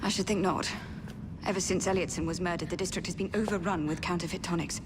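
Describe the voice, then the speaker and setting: An actress playing an earnest, firm Victorian lady nurse in a game, with an English accent and a measured, authoritative manner. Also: lowish voice, steady tone, natural voice. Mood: grave. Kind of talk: video game cutscene acting. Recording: long reverb, clear background noise.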